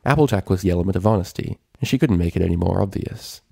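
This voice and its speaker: A man with a deep, creaky voice narrates a story from a script. The recording is close and dry, with very little room sound. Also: fast talker, darkish tone, no room sound. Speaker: fan fiction reader.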